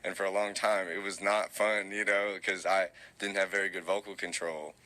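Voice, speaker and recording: A young man with a very low voice that still carries a bright, forward edge. He talks in a relaxed, rambling way, full of fillers and restarts. The sound is tinny, like an old phone recording. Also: moderate pace. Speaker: musician guest. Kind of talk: casual interview answers.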